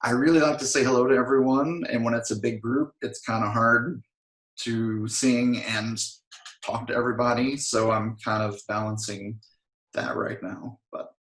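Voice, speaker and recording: A man being interviewed, chatting casually and a little hoarse, filling his talk with "I mean" and restarts. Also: medium pitch, steady tone, moderate pace, a bit bright, roomy sound, rough recording. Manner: rambling, frequent "I mean" fillers. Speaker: interview guest, singer.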